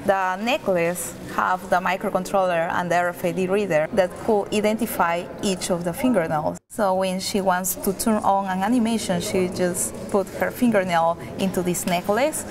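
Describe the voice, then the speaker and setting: A woman designer explaining her wearable tech project patiently, step by step. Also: medium pitch. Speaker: designer and maker.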